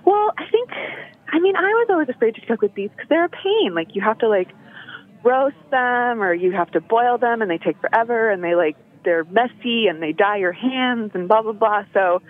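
Phone-in guest: young woman, bubbly, lots of fillers, thin phone-line sound. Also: mid-range voice. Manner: bubbly, laughing often, many fillers. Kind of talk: casual phone interview.

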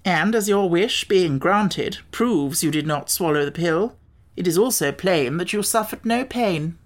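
A woman's voice: lowish voice, animated, measured pace, voice pushed up. A woman voicing a self-assured, stubbornly logical male insect from a storybook, declaring things in a lecturing way. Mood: pedantic, insistent.

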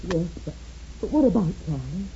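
A woman's voice: lowish voice, very animated, normal speed, dark tone. An actress playing a polite, anxious young frontier woman with a hesitant manner in a 1950s radio western. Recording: dull, hissy 1950s radio recording.

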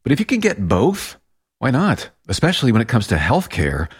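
A man's voice: mid-range voice, huge pitch swings, normal speed, husky. A man hosting a radio show, reading a sponsor ad in a chatty, conversational way. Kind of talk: casual host-read ad.

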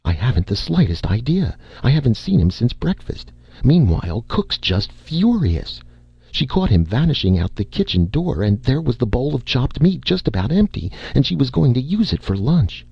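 A man reading an audiobook, voicing a hurried, fretful middle-aged wife in a raised voice. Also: mid-range voice, big pitch swings, gravelly, breathy edge, no room sound, dull audio, pitched above the performer's own voice, full character voice. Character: anxious upper-class wife, middle-aged.

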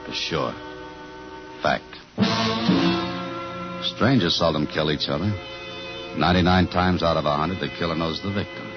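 Muffled vintage radio sound with music behind it. a hard-boiled, dogged police detective with a low voice. He talks in terse, insistent lines like a cop who will not let go. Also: normal speed, pauses often. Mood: determined narration. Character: tough, dogged male police detective defending his wife. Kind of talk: 1950s radio thriller acting, hard-boiled narration.

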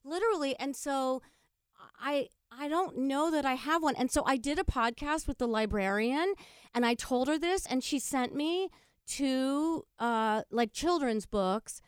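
A woman chatting casually as a guest, with halting, emphatic phrasing. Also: high-pitched, no room sound, studio quality. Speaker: podcast guest, stage performer. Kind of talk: casual chat.

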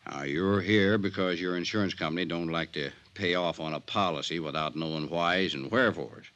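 An actor playing a folksy, wary older small-town sheriff who drawls deliberately in a 1950s radio drama. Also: male voice, low-pitched, a bit bright, muffled recording. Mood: wary, warning.

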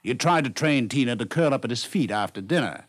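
A man narrates a scripted story for 1950s radio. His medium-pitched voice moves expressively up and down, and the studio sound is dry and close. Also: animated, fast, no echo.

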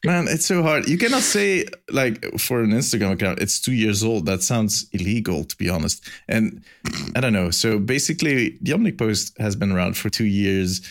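A man's voice: on the low side, lively intonation, normal speed. A man with a Belgian accent hosting a casual gaming podcast, rambling and laughing at himself. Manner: rambling, self-mocking, laughs often. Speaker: podcast host.

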